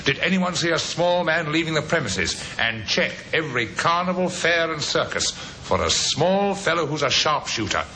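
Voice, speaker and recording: An actor playing a brisk, authoritative male Scotland Yard inspector in an old radio drama, speaking in a businesslike way. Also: fairly high pitch, sing-song, pushed and bright, slight background noise, low-quality recording. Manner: businesslike, clipped questioning. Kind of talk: 1950s radio drama acting.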